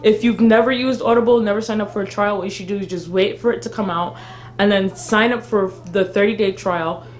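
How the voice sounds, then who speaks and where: A woman's voice: medium pitch, steady tone, a little fast. A woman co-hosting a casual live fan chat, giving informal opinions and swearing freely. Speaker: fan vlog co-host.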